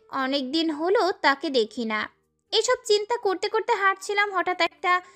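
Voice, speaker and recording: A woman narrating a dramatic first-person story as a schoolgirl heroine, in an expressive way. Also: high-pitched.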